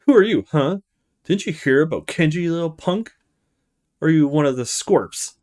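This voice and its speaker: A man's voice: fairly high pitch, animated, normal speed, darkish tone, voice pushed up, natural voice. A man voicing a cocky, aggressive young male street thug in a visual novel, with a taunting, menacing delivery. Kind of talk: visual novel character read-aloud.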